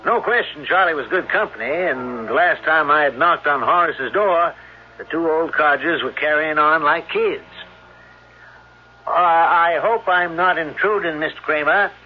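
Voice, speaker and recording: A courteous small-town reporter in a radio play. His voice is a little higher than usual for a man, plain-spoken and sincere. The audio has the muffled sound of a vintage broadcast. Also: a little slow, husky. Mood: reflective. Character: polite, earnest small-town newspaperman, younger man. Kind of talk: old-time radio drama acting and narration. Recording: dull, band-limited old radio recording.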